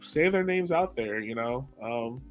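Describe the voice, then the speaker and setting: A man with a mid-range voice co-hosts a casual podcast conversation. He speaks in a relaxed, earnest way, leaning on easy fillers as he makes his case. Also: medium pitch, a little slow, soft background music. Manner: relaxed, earnest, many "like" and "you know" fillers. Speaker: podcast co-host.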